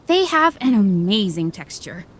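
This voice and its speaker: A woman's voice: medium pitch, very animated, a little slow, fairly bright tone, breathy edge, exaggerated voice. A woman playing a polite young waitress, courteous and presentational, in a video game. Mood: polite, enthusiastic.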